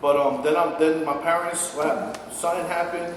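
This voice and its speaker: A man's voice: a little high, fairly even intonation, brisk. Man telling stories in an echoey room. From born in Texas, raised in Colombia and New York. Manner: laid-back storytelling, laughs often, swears. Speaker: podcast guest. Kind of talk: casual chat. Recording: echoey room from far off, faint noise.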